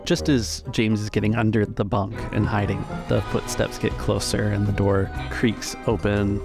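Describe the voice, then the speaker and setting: Creaky-voiced man running a tabletop game. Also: fairly low pitch, flat delivery, normal speed. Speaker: game master. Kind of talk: tabletop roleplay narration. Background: music.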